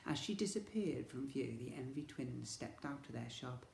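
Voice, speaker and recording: A man reading a children's story as a teacher would, with dramatic, expressive narration. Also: medium pitch, normal speed, creaky, slight background noise. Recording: echoey room.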